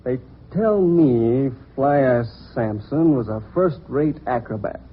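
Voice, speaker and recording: An actor playing a sardonic police inspector in an old-time radio drama, probing with needling, mock-polite questions. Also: male voice, medium pitch, lively intonation, takes it slowly, slight background noise. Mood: probing, suspicious. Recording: dull lo-fi old radio recording.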